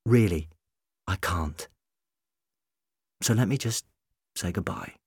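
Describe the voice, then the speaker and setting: A man playing a quick-witted, defiant but kind doctor in an audiobook adventure, speaking emphatically. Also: mid-range voice, big pitch swings, fairly bright tone, no echo, studio quality. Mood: quiet, sad.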